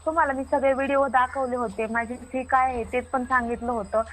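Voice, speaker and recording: A woman's voice: sits high, steady tone, brisk, fairly bright tone. A woman playing a stubborn, self-assured water diviner with a rural Maharashtrian Marathi accent, arguing insistently and repeating herself in a comic phone-call skit. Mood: defensive, indignant.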